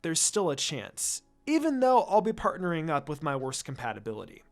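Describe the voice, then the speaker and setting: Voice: medium pitch, huge pitch swings, a little fast. A narrator voicing a determined, hot-tempered young ninja girl in a story reading, insistent and scolding.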